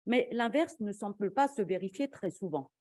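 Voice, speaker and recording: A woman delivers a formal academic conference talk with a mellow, dark-edged voice at a middling pitch. She speaks in a measured, argumentative manner, as a scholar presenting to peers. The sound is close and dry, with very little room on the voice. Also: lively intonation, no echo. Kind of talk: formal academic lecture with slides.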